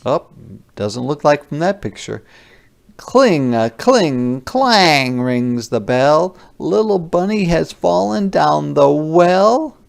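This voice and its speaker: A man with a creaky voice reading a children's picture book aloud and pausing to explain words simply. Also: a little high, animated.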